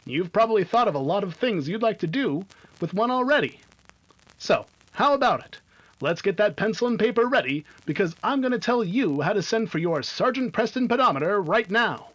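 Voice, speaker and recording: A man acting an eager, emphatic 1950s radio announcer who pitches to children with clear enunciation. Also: sing-song, moderate pace, a bit bright, exaggerated voice. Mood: eager, selling. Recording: dull, lo-fi radio sound.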